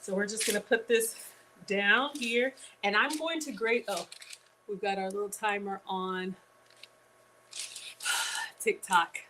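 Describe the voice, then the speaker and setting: A woman's voice: medium pitch, slow, long pauses, background noise. A woman hosting a live-streamed cooking class in a home kitchen, chatting straight to her viewers with casual asides. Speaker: cooking show host.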